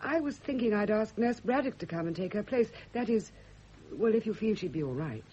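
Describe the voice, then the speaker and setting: An actress in a radio drama playing a composed, subtly manipulative young gentlewoman, gentle and solicitous in manner. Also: mid-range voice, expressive, a little fast, husky. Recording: dull, noisy old radio recording.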